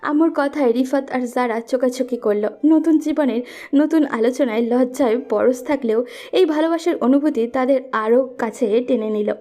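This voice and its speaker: A woman's voice: high voice, normal speed, mellow, soft background music. A woman narrating a scripted romantic story as its storyteller.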